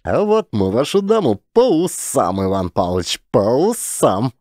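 A man voicing an elderly, excitable mine manager who crows loudly and begs in a raised, high voice. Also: measured pace, no room sound, studio quality. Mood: gloating. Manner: loud, gloating then pleading, high-pitched.

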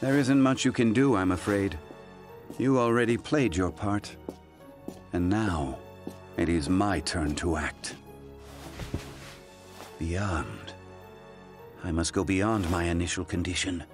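An actor playing an obsessive, wealthy supervillain in an animated game, speaking in a formal, theatrical, deliberate way. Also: male voice, sits low, soft background music. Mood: obsessive resolve. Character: obsessive, imperious, wealthy male supervillain with grand ambitions. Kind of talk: dramatic animated game cutscene acting.

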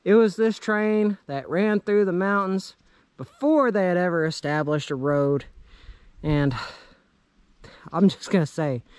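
A man's voice: high voice, a little slow, long pauses, clear, resonant tone, no echo. A man in his thirties narrating a casual exploration vlog outdoors on a handheld camera, talking in an awestruck, exclaiming way. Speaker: vlog host. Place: outdoors in woods around abandoned railway.

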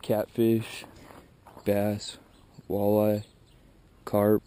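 Low-voiced young man vlogging outdoors. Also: completely flat delivery, very slow, warm tone, clean tone. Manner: plain, matter-of-fact, listing gear. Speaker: fishing vlogger. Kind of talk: casual fishing vlog. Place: outdoors by a truck and a river at dusk. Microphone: wide-angle action camera held close.

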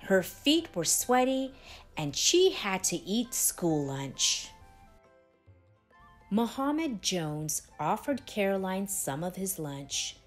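A woman reading a children's story aloud in a deliberate, expressive way with dramatic pauses. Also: medium pitch. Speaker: storybook reader, channel host. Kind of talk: children's read-aloud, scripted narration. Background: background music.

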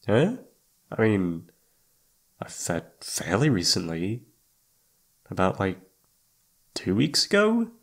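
A man's voice: fairly low pitch, huge pitch swings, slow pace, vocal fry, long pauses, full character voice. A man playing a shy, flustered young incubus roommate in an ASMR roleplay, hesitant and awkward, often trailing off. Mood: weary, pensive. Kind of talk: ASMR roleplay audio drama.

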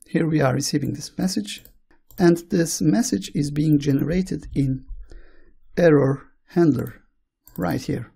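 A man with a Turkish accent, a native Turkish speaker, walking through code slowly, step by step, in a screencast tutorial. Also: a little high, creaky, dark tone, slightly rough. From Turkey. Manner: slow, step-by-step walkthrough. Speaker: programming tutor.

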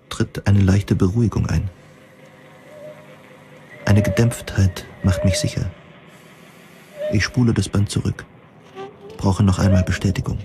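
A man playing a lonely, hypersensitive survivor, narrating a dystopian radio drama in measured, solemn, formal words. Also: lowish voice, creaky. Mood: detached, brooding. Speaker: narrator and protagonist. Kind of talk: introspective first-person radio drama narration.

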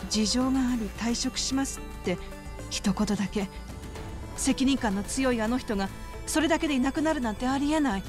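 An actress voicing a polite, composed middle-aged orphanage worker with a worried, formal way of speaking in a video game cutscene. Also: female voice, a little high, moderate pace, music in the background, natural voice.

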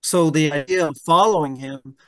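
A man's voice: a little high, normal speed, a bit bright. A man in his fifties, a pastor leading a Bible study over a video call from home, who often restarts his sentences and keeps asking "right?". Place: room at home. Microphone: webcam on a video call.

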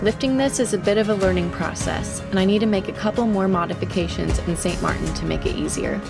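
A woman's voice: mid-range voice, fast talker, creaky, over loud music. A young woman in her twenties talking casually to a handheld camera on a sailboat deck, thinking aloud with fillers. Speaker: sailing vlogger. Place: outdoors on a sailboat deck at anchor. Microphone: camera held at arm's length. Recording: noisy outdoor wind and water.

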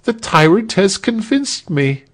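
A man's voice: high-pitched, very animated, slow pace, pitched above the performer's own voice, full character voice. A man voicing a vain, easily stung male rebel in a storybook, snappish and self-important. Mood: huffy, pained.

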